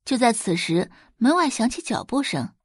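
A woman narrating a scripted story with expressive, dramatic delivery. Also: on the high side, moderate pace, slightly rough, dry recording, studio quality.

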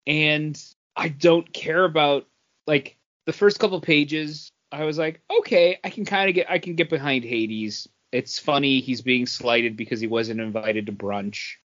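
A man's voice: on the high side, a little slow, a bit bright. A middle-aged man co-hosting a podcast, chatting casually about comics. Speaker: podcast co-host. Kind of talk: casual comic book chat. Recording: dull, muffled lo-fi audio.

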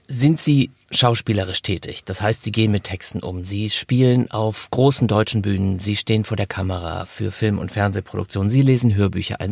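A man hosting an interview, putting prepared questions in measured, articulate phrases. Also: mid-range voice, creaky, darkish tone, dry recording. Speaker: interviewer, host.

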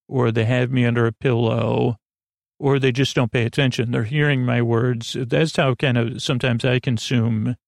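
Male sleep-podcast host, gravelly voice, dry close sound, meandering and reassuring. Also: medium pitch, dry recording. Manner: meandering, goofy, filler-heavy. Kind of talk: rambling bedtime monologue.

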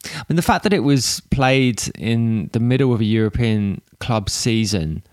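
A man with an English accent hosting a podcast, slightly hoarse, rambling casually with restarts and fillers. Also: medium pitch, lively intonation, normal speed, a little mellow, no echo, clean studio sound. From England. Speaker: podcast host. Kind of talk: casual monologue intro.

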